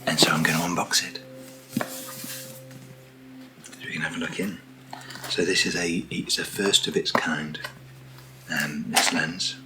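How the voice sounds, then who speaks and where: A British man speaking softly in an ASMR unboxing style, hushed and often slipping into a whisper, as the host of the video. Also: rumbling low, steady tone, measured pace, long pauses, over music, poor audio. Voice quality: soft, often dropping to a whisper. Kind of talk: soft-spoken ASMR unboxing.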